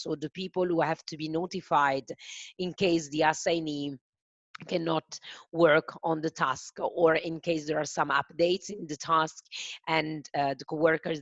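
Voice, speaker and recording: A woman with an Italian accent hosting a software demo webinar, speaking carefully and haltingly with frequent fillers. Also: low voice, no room sound, highs cut off. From Italy. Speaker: webinar host.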